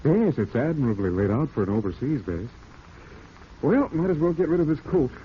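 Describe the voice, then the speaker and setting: An actor playing a scheming, indecisive husband in a 1940s radio drama, talking smoothly but evasively. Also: male voice, on the high side, sing-song, a little fast. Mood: casually genial. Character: scheming, indecisive husband plotting murder, outwardly genial. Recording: muffled, hissy 1940s radio recording.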